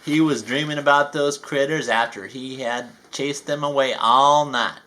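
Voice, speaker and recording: A man's voice: mid-range voice, steady tone, unhurried, bright, forceful tone, a little hiss or hum. A man reading a children's storybook aloud, folksy and playful, with chatty asides. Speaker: storybook reader.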